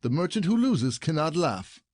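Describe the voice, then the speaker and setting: An actor playing an aggrieved, scheming husband in a classic stage farce, declaiming formally and indignantly. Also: male voice, fairly high pitch, big pitch swings, normal speed, a little mellow, no echo, studio quality. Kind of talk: classic comedy audio play acting.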